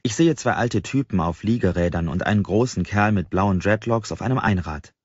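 A man with a husky voice, narrating a novel in the first person as an audiobook reader. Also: lowish voice, fairly quick, darkish tone. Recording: slightly dull, roomy sound.

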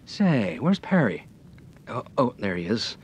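An actor playing a jittery, slangy club owner with gangster bluster in an old radio drama, excitable and wisecracking. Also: male voice, a little slow. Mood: wry, chatty. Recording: rumbly, noisy old radio recording.

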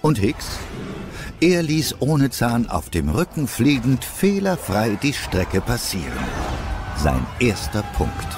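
A man's voice: mid-range voice, wildly swooping pitch, slow, over music. A man narrating a scripted story as the narrator of an audio drama.

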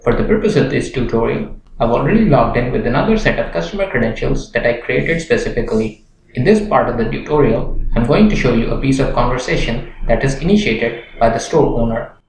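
A young man presenting a software tutorial in a scripted narration style. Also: mid-range voice, lively intonation, brisk. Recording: roomy echo with background noise, clicks.

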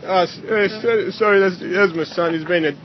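A man's voice: high-pitched, normal speed. A man making a prank phone call, posing as a troubled caller in a hesitant, rambling, earnest way. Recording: muffled lo-fi phone call, loud noise.

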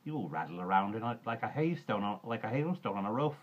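A man voicing a kindly woman who calmly reassures a child, warm and unhurried, in a storybook reading. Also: fairly low pitch. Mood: calm, inviting. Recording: dull muffled recording with clear background noise.

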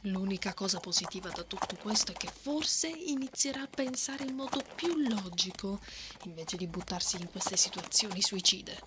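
A woman playing a brave, hot-tempered, bossy female knight in an ASMR roleplay, often breathy and muttering threats to herself. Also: medium pitch, animated, moderate pace, noisy background, close to an everyday voice. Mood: worried. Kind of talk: ASMR roleplay, acted monologue. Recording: noticeable outdoor ambience effects behind.

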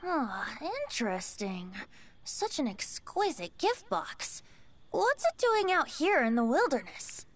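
A woman's voice: sits high, very animated, slow, a bit bright, heavily acted. A woman voicing a playful, whimsical young male bard in a video game, theatrical and eloquent with a teasing manner. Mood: curious.

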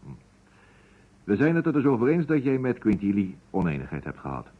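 A shrewd investigator in a vintage radio play. His voice is husky and swings widely in pitch as he questions calmly and pointedly. The recording is dull. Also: a little fast. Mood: insistent, controlled. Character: probing male investigator, calm, insistent, shrewd. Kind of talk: old Dutch radio drama acting.

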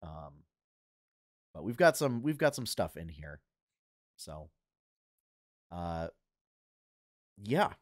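A man in his thirties hosts a casual gaming news podcast from his home, speaking into a headset. His fairly low voice is a little husky from a cold, and he stumbles along with plenty of fillers and restarts. The sound is dry and close. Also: big pitch swings, dry recording. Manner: halting, many fillers and restarts. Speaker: podcast host. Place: home room full of collectibles.

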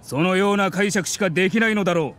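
An actor playing a haughty, scornful academy scholar in an anime game, speaking in a formal, condescending, accusatory way. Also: male voice, sits high, quick pace, fairly bright tone.

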